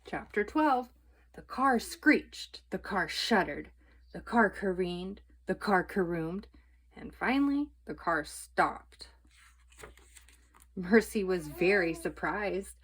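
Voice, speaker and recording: A woman's voice: on the low side, lively intonation, slow, husky. A woman narrating a children's book as a storytime reader.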